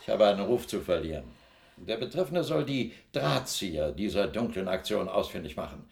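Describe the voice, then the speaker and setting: An actor playing a bossy, self-important investment tycoon in a German radio play, excitable, indignant and emphatic. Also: male voice, lowish voice, normal speed, vocal fry, roomy sound. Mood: indignant, blustering. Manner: excitable, emphatic, repeating himself.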